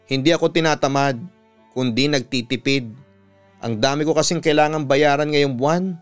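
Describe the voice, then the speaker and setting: Man playing a defensive young Filipina office worker, smooth-voiced. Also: on the high side, fairly even intonation, moderate pace, no room sound, soft background music, lightly acted. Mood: sheepish. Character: defensive, money-strapped young working woman, the letter sender.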